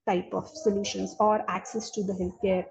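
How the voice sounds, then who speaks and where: A woman's voice: medium pitch, little pitch movement, normal speed, creaky, a little mellow, breathy edge. A woman giving a webinar presentation over a video call, hesitant and full of fillers. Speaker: incubator speaker. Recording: dull lo-fi call audio.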